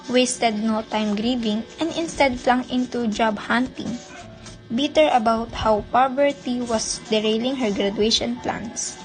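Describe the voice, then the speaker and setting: High-voiced Filipino woman narrating radio drama. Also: low-quality recording.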